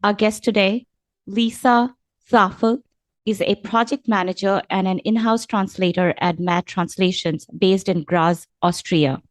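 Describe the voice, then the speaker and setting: A woman's voice: medium pitch, a little slow, no room sound. A woman hosting an interview podcast, greeting her guest in an upbeat, effusive way. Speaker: podcast host.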